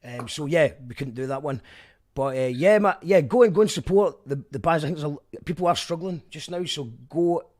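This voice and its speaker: A man, a radio host and band musician chatting casually in an animated, rambling way, often correcting himself. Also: on the high side, fairly quick. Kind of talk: casual chat.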